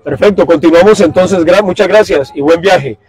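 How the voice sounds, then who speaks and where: A middle-aged man reporting live for radio from a crowded outdoor dock, speaking in a formal, measured way into a handheld mic. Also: high voice, a little mellow, husky, dry recording. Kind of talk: live field report and street interviews. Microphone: handheld mic held close.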